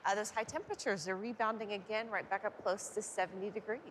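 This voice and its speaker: A woman's voice: medium pitch, fairly even intonation, quick pace, a little hiss or hum. A woman presenting on local TV news in a casual, conversational style, recorded in a studio. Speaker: TV news co-anchor and weather presenter. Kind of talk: casual news banter and forecast.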